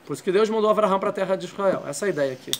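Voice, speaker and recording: Man teaching a torah class, high voice, patient, walks through each point. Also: racing, a little hiss or hum. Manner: explains step by step, checks in with "tá?". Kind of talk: classroom lecture.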